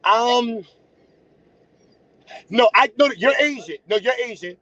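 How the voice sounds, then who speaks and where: Casting note: high-voiced New man, blunt livestream host, dull lo-fi audio. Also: slow, sharp tone, no echo. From United States. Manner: blunt, teasing, talks straight to viewers. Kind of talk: casual livestream chat. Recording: dull, lo-fi sound.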